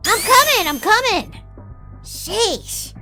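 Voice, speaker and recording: An eager little boy bee from a cartoon. The voice is extremely high and lively, with a drawn-out, unhurried delivery. It is bubbly and full of exclamation. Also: over music, exaggerated voice. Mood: harried. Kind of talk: comic book fan dub cartoon acting.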